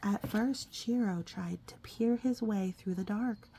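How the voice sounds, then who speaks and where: A woman reading a picture book aloud to a young child, expressive and coaxing, often dropping into a breathy whisper and adding chatty asides. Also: medium pitch, measured pace, slight background noise. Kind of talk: storybook read-aloud with conversational asides to a child.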